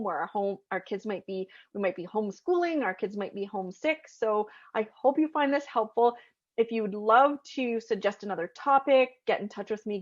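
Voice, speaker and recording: Higher-voiced woman hosting, lo-fi sound. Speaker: podcast host. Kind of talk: casual podcast interview.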